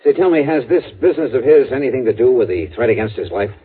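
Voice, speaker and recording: Breezy, swooping 1950s radio detective. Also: male voice, mid-range voice, animated, quick pace, breathy edge. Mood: wry, curious. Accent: American. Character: brisk, wisecracking male insurance investigator hero. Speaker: lead actor and narrator. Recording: muffled narrow-band 1950s radio recording.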